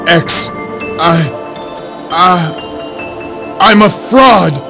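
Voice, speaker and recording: Big emotional robot hero full of self doubt, game cutscene, really drawn out, kinda high voice. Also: male voice, gravelly, music in the background, full character voice. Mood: despairing, confessional. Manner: halting, anguished delivery. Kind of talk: dramatic video game voice acting.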